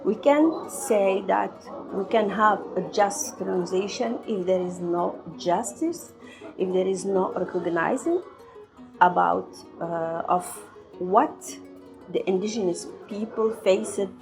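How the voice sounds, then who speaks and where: Slow, halting woman from Morocco. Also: lowish voice, gravelly, music in the background. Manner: halting, searching for words. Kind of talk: unscripted interview answer.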